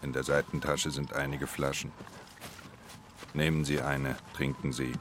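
An actor playing a gruff, sluggish peasant coachman with a rough voice in a literary radio drama. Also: very deep voice, little pitch movement, background noise. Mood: gruff, indifferent. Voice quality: rough, gravelly. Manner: slow to stir, unbothered.

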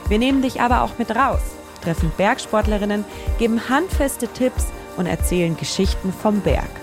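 A woman's voice: medium pitch, animated, moderate pace. A woman podcast host delivering a scripted promo. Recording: music bed behind the voice.

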